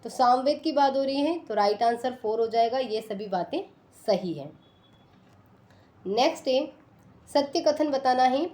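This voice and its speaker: Higher-pitched woman teaching. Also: expressive, a little slow, pauses often, a little mellow. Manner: instructional, reads out items one by one. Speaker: teacher, exam quiz presenter. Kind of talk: question-and-answer exam lesson, reading options then giving answers.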